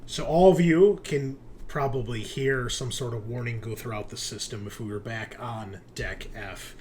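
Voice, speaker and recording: A man's voice: medium pitch, normal speed, darkish tone. Man running a tabletop game over background noise. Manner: informal second-person narration, improvised. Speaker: game master. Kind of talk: narrating a tabletop roleplaying game.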